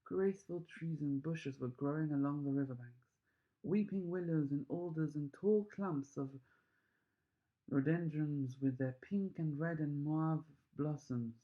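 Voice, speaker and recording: A man reading a children's storybook aloud with expressive, emphatic narration. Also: on the high side, unhurried, lo-fi sound. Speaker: story reader.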